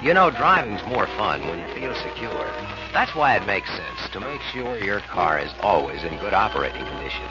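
A man's voice: low-pitched, sing-song, normal speed, over loud music. A man with an American accent delivering a scripted vintage radio commercial as announcer. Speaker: advertisement announcer. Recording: muffled old radio recording.

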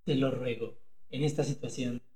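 A man's voice: medium pitch, fairly even intonation, normal speed, mellow, echoey room, natural voice. A man playing a cowardly, boastful henpecked husband in a comedic radio play, hesitant one moment and blustering the next. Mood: startled, timid. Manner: hesitant stammering, then blustering.

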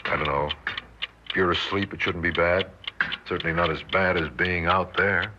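A man acting a weary, protective father in an old radio drama. His voice is low and earnest, reasoning haltingly with his family. The old recording is muffled and clearly noisy. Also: fairly even intonation, close to an everyday voice. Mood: grim, resigned.

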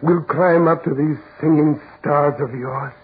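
An actor playing a brooding businessman who yearns to break free, with halting, intense delivery in 1940s radio melodrama style. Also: a little high, fairly even intonation, breathy edge. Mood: yearning, resolute. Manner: halting, intense, dramatic pauses. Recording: muffled, tinny 1940s radio recording with music.

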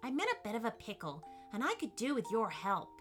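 A woman's voice: a little high, huge pitch swings, brisk, fairly bright tone, soft background music. A woman voicing a friendly male talking cat in a children's story, genial and courteous.

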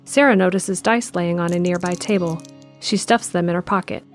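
A woman narrating an audio drama in a calm, steady read. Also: on the low side, normal speed, faint music behind.

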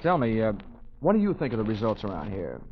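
A man's voice: on the low side, sing-song, fast, a little hiss or hum. An American man playing a wry, wisecracking insurance investigator in a 1940s radio drama, talking and narrating in a dry, sardonic way. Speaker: lead actor and narrator of a detective radio serial. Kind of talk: 1940s radio acting, first-person narration. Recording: dull 1940s radio recording with music.

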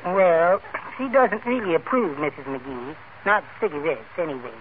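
A man's voice: fairly high pitch, lively intonation, normal speed, phone-quality sound, lightly acted. An actor playing a meek, timid, henpecked little man with a mild, mincing manner in 1940s radio comedy style. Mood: meek, plaintive. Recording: old thin radio recording, heavy noise.

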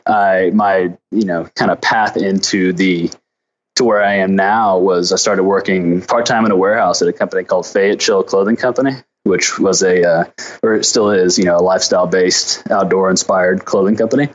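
A man's voice: low voice, steady tone, moderate pace, highs cut off. A man from Arkansas with an American accent, answering interview questions in an easygoing, informal way as a company founder. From Arkansas, United States.

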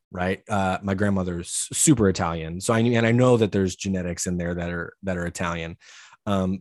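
Chill guy, lowish voice, says like a lot, dry sound. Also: no room sound. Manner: relaxed, says "like" a lot, trails off quietly. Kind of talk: casual chat.

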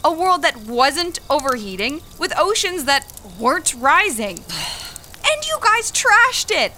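Bright, high-voiced fiery young organizer woman. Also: very animated, a little slow, slight background noise, full character voice. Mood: outraged. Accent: American. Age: young adult. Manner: impassioned, persuasive, idealistic. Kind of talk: audio drama acting.

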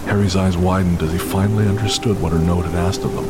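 A man's voice: sits low, fairly even intonation, quick pace, heavy background noise. A man narrating a scripted audio drama in a dramatic storytelling style. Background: loud music with rain and thunder.

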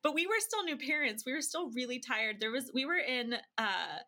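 Female podcast guest, casual storyteller, chatty, fairly high and bright voice. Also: expressive, moderate pace. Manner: chatty, laughs easily. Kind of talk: casual anecdotal storytelling in an interview.

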